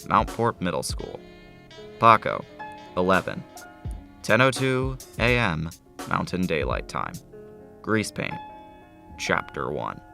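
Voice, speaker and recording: Gravelly, low-pitched male radio host. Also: very animated, slow pace, long pauses, sharp tone, soft background music. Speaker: host, narrator. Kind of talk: scripted radio-host narration.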